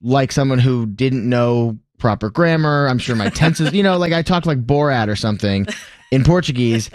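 An upbeat man co-hosting talk radio from a studio, speaking into a close microphone. His voice sits at a middle pitch, and the sound is dry with no room. He rambles cheerfully and keeps correcting himself mid-sentence. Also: expressive, moderate pace, no room sound. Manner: upbeat, rambling, lots of fillers and self-corrections. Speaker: radio talk show co-host.

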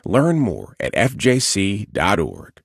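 Low-voiced man reading a sponsor message. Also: wildly swooping pitch, slow, creaky, very noisy. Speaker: sponsor announcer. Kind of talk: scripted sponsor read.

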